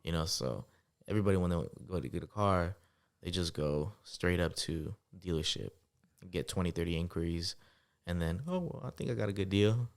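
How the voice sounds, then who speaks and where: A man in his twenties, a guest entrepreneur, telling stories in a relaxed, casual podcast interview with lots of fillers. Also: low voice, brisk, vocal fry, clear, resonant tone. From United States, Salvadoran family. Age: 20s. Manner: relaxed storytelling with many fillers.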